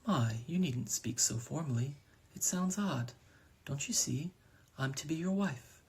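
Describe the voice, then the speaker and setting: A man's voice: fairly high pitch, unhurried, husky, voice pushed up, full character voice. A man doing a soothing female crow. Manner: calm, soothing, formal. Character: alluring gentle female crow, soothing and devoted.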